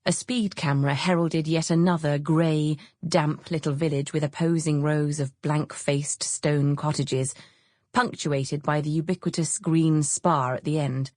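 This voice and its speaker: An English woman narrates a novel with expressive, scripted delivery. Her voice sits low and has a husky grain. The studio sound is close and dry. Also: measured pace, dry recording. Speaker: audiobook narrator.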